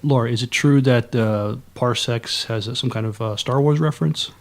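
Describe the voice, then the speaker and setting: A man's voice: medium pitch, moderate pace. A man co-hosting a podcast, chatting casually and asking questions a little hesitantly. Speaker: podcast co-host. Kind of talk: casual interview chat.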